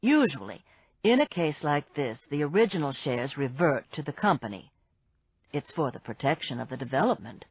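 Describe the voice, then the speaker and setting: Woman voicing a low, measured businessman explaining things. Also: very animated, husky, no echo, muffled recording, full character voice. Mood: patient, assured. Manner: measured, matter-of-fact explaining. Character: calm, knowledgeable businessman explaining patiently.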